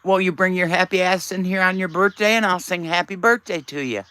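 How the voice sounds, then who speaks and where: A man's voice: high-pitched, brisk, bright, forceful tone, no room sound. A man, chatting casually and teasingly with viewers on his live stream. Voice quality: raspy.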